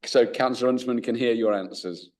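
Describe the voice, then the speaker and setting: A man chairing a council committee meeting, speaking haltingly with many restarts. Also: medium pitch, fairly even intonation, darkish tone, recorded from a distance. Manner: halting, full of restarts and fillers.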